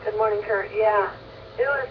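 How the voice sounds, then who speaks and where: A woman's voice: mid-range voice, moderate pace. A woman chatting casually as an amateur radio operator, telling things in a rambling way over a two-way radio. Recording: tinny, scratchy, distant ham radio transmission.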